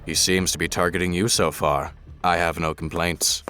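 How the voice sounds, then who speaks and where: A man voicing a cold, controlled, quietly threatening tycoon in an animated series, speaking curtly. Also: low voice, normal speed, slight background noise. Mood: cold, impatient. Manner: curt, controlled.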